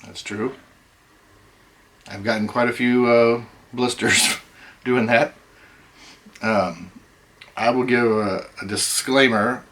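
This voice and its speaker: A middle-aged man hosting a casual chat, rambling with many ums, his voice going hoarse. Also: medium pitch, fairly even intonation, slow pace, long pauses, a bit bright. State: losing his voice. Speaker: host.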